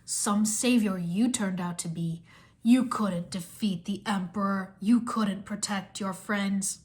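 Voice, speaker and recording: Villain, read by a woman: scheming, smug, slow taunting delivery for an adventure story. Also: mid-range voice, fairly even intonation, darkish tone, voice pushed down, lightly acted. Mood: gloating sneer. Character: gloating, scheming male villain, sneering and goading.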